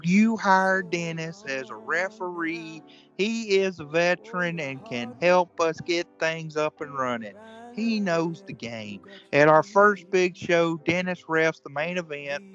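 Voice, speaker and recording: A man's voice: on the high side, fairly bright tone, lo-fi sound. A man acting in a comedic audio play as a crude, hot-tempered old-school wrestler, folksy and profane.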